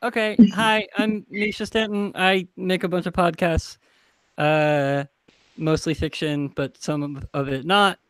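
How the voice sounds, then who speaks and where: Male panelist, fairly high smooth voice, casual and informal. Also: fairly even intonation, measured pace, a bit bright, no room sound, low-quality recording. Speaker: podcast maker and panelist. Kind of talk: casual self-introduction. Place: remote video call.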